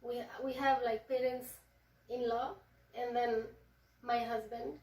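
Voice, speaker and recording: Young woman from Nepal, fairly high voice. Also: little pitch movement, a little mellow. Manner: measured, hesitant, with frequent fillers. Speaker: guest. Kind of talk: casual interview, explaining her culture. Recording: echoey room, voice from a distance.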